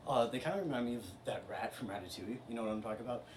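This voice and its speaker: A man's voice: mid-range voice, very fast, natural voice. A man doing a lazy boastful philosopher. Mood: smug. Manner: laid-back, filler-heavy, boastful. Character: crude boastful male philosopher, slacker drawl. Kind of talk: amateur comedy sketch. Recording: roomy, distant, noisy home recording.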